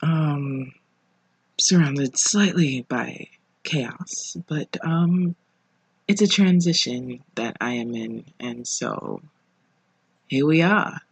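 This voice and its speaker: A podcast host speaking casually alone, in an informal, reflective way with pauses between phrases. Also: mid-range voice, creaky, slightly rough, no echo, low-quality recording. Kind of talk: casual solo podcast monologue. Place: living room at home.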